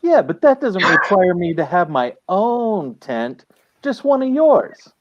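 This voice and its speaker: A man's voice: high voice, sing-song, slow, full character voice. Man playing a needy talking hound, muffled lo-fi. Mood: wheedling. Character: male talking hound, needy, wheedling, easily offended. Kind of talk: radio comedy acting over video call. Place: room at home, video call. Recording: dull lo-fi video-call audio.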